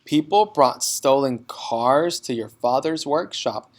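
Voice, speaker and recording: A kindly woman teacher from a storybook voiced by a man. She talks in a calm, measured way, gentle yet matter-of-fact. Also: mid-range voice.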